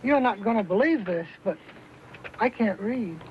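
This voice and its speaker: High-pitched, eager lad. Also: male voice, expressive, normal speed. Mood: sheepish, earnest. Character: eager, naive, respectful young frontier lad. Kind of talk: old-time radio western acting. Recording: muffled, noisy old radio recording.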